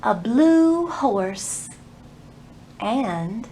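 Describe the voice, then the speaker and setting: A woman hosting a children's storytime, reading and teaching in an animated way with careful enunciation for young listeners. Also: fairly high pitch, very slow. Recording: roomy echo, faint background noise.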